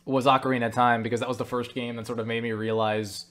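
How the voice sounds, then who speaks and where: A man's voice: medium pitch, level tone, fast talker, a bit bright. A man talking in a casual, rambling monologue as he answers viewer questions, stumbling over words, interrupting himself and speaking bluntly. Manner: blunt, swears freely.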